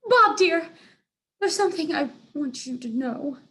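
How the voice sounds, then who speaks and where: Storybook lady cat, female reader: haughty, theatrical, besotted, high voice. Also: lively intonation, unhurried, bright, forceful tone, full character voice. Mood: sweetly insistent. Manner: grand, melodramatic delivery. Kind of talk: children's storybook character voice.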